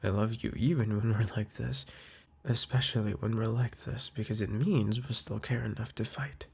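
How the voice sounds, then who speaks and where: A man with a husky, breathy voice speaking softly and hesitantly into a close mic, whispering a tender apology as a boyfriend to the listener. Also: lowish voice, fairly even intonation, normal speed. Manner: soft, hesitant, tender, with sighs and restarts. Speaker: boyfriend in a roleplay audio. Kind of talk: intimate apology roleplay to the listener, ASMR-like.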